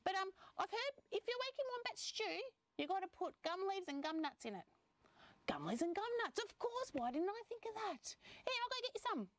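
A woman's voice: very high voice, sing-song, moderate pace, no echo, voice pushed up. A woman with an Australian accent voicing a friendly, sly possum rescuer in a children's story. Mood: sly, helpful.

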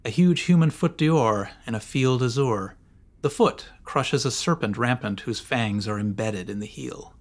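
A man voicing a cunning, courteous, sinister nobleman in a gothic audiobook, measured and dramatic. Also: medium pitch. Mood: courtly.

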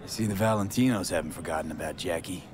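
A man's voice: low voice, fast, close to an everyday voice. A man playing a guarded, mournful young street mercenary in a video game. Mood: subdued, mournful. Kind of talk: naturalistic video game acting.

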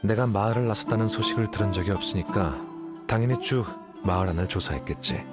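An actor playing a cool, aloof young scholar in a video game, deadpan and dismissive, with a slightly husky voice. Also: male voice, on the low side, fast, over loud music, lightly acted. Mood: aloof, dry. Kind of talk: game character dialogue.

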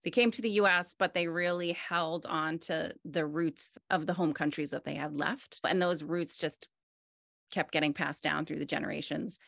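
Low, gravelly-voiced woman on a video call. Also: normal speed, no room sound, clean studio sound. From United States. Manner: relaxed, thoughtful, many fillers and restarts. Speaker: artist. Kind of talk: conversational interview. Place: home room with wood-panelled wall.

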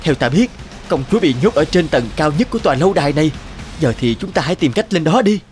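A man's voice: a little high, animated, fast, darkish tone, soft background music, close to an everyday voice. A man voicing a brave, kind-hearted young prince in a fairy-tale cartoon, earnest and polite. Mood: urgent. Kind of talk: animated fairy-tale dubbing.

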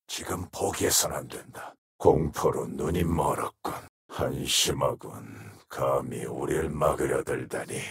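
An actor playing a menacing, tormented shadow wraith in a video game, with a gravelly, breathy rasp and grave, terse delivery. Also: male voice, low-pitched, a bit bright, heavily acted. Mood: cold, menacing. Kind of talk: video game character voice lines.

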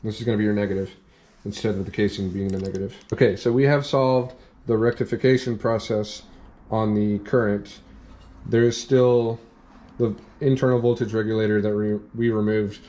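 A young man in a workshop, calmly walking through a hands-on repair step by step, with plain practical talk. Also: fairly low pitch, moderate pace. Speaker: off-road channel host demonstrating a repair. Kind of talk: hands-on how-to explanation. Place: indoor workshop at a workbench.

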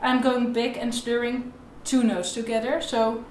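A young woman speaks in a somewhat high-pitched voice, explaining things step by step as an instructor. She addresses the camera in a tutorial style. The sound is clearly roomy, with the echo of a bare indoor space. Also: flat delivery, a little slow, darkish tone, recorded from a distance, a little hiss or hum. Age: young adult. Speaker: violin teacher.

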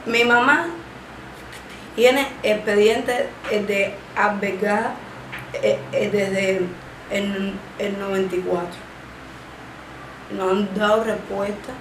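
A young woman in her twenties with a Cuban accent, speaking haltingly with a stammer and many drawn-out fillers while giving personal testimony in an interview. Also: mid-range voice, steady tone. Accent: Cuban Spanish. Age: 20s. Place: small indoor room, seated. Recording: echoey room with background noise.

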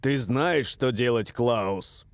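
Grave, stern football coach. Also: male voice, medium pitch, dry recording. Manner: grave, measured. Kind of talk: cartoon dub acting.

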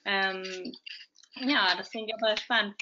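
A woman's voice: mid-range voice, steady tone, slow, noisy background. A woman in her twenties hosting a podcast over a video call, asking friendly questions. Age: 20s. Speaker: podcast host. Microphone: video call from home. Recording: dull lo-fi video call audio.

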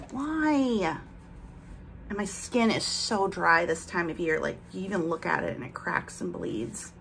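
A woman's voice: fairly low pitch, lively intonation, takes it slowly, creaky, pauses often, a bit bright, a little hiss or hum. Chatty woman with a slight lisp. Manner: rambling, talks while handling products. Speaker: vlogger. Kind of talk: casual chatty vlog, unboxing. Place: bedroom at home, indoors.

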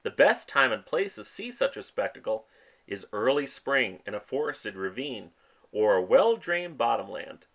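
Man reading aloud in an animated, sing-song voice. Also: medium pitch, moderate pace, gravelly. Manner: lively, sing-song reading of rhymes and plant names. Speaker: storytime host reading a picture book aloud. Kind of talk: expressive children's read-aloud. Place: outdoors in a spring forest, and a voice-over on book pages.

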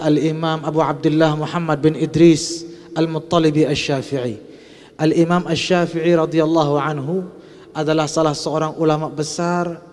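A man's voice: a little high, darkish tone. A man in his thirties with an Indonesian accent, preaching a Friday sermon at a pulpit microphone. He chants Arabic quotations and then translates them. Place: large mosque hall, at a pulpit. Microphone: gooseneck pulpit mic. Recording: long mosque reverb.

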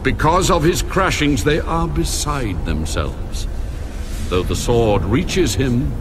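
A man's voice: mid-range voice, lively intonation, slow pace, background noise. A man voicing God, solemn and commanding. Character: God, a mighty divine voice. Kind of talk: dramatized scripture reading. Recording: over loud background music.

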